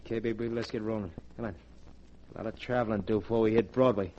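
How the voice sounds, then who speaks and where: An actor playing a scheming tough-guy crook in a 1940s radio drama, gruff and bossy with streetwise slang. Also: on the low side, fairly even intonation, fast, highs cut off, background noise, close to an everyday voice. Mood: menacing.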